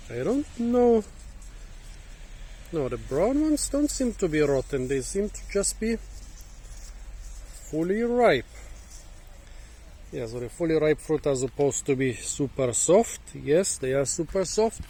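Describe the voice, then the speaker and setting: A hoarse man, musing and halting as he thinks aloud while hosting a casual fruit-tasting vlog outdoors in a garden, recorded on a phone. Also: fairly high pitch, very animated, mellow. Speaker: fruit channel host. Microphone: phone camera.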